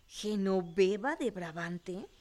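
An actress playing an anxious, easily scandalized maid in a radio drama, incredulous and fretful. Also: female voice, mid-range voice, expressive, slow pace, a little mellow. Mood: puzzled, alarmed. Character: anxious, easily scandalized female servant companion.

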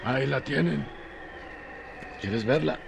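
A man playing a terse, probing rural in a radio drama, asking clipped questions in a gravelly voice. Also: medium pitch, takes it slowly, noisy background. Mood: matter-of-fact.

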